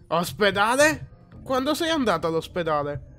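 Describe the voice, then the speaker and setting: A man's voice: high-pitched, big pitch swings, normal speed, bright, forceful tone, faint music behind, voice pushed up, full character voice. A man voicing a troubled, defensive cartoon teenage boy in an amateur fan dub, swinging from forced cheer to desperate outbursts.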